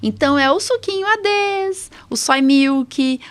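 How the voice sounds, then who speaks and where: A woman's voice: high voice, lively intonation, slow. A woman with a Brazilian accent hosts a medical podcast in a conversational way. She explains things plainly and informally. She often tacks on short questions to keep her guest with her. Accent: Brazilian Portuguese. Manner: explanatory, checks in with frequent tag questions. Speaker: podcast host, allergist doctor.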